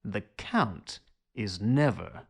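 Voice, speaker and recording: A man's voice: on the low side, animated, takes it slowly, creaky, heavy background noise, full character voice. A man acting a genial, eager young aristocrat in a period audio drama, telling things in a polite, theatrical way. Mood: eager, dramatic.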